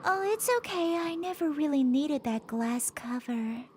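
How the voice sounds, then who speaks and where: A woman's voice: sits high, expressive, normal speed, a little hiss or hum. A woman voicing a proud, brave female rose in a children's cartoon, delicate and reassuring. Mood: brave, wistful. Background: music.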